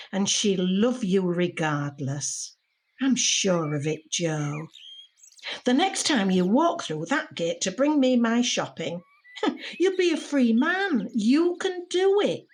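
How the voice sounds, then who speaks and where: A woman playing a gentle, reflective grandmother in an audio drama, speaking in a measured, heartfelt way, encouraging and tender. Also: mid-range voice. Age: older. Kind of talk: audio drama monologue and dialogue. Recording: noticeable background noise with birdsong.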